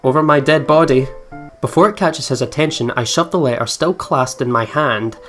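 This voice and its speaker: A man's voice: mid-range voice, normal speed, fairly bright tone, faint music behind. A man hosting a let's play, reading story text aloud and breaking in with reactions and asides. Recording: clear background noise.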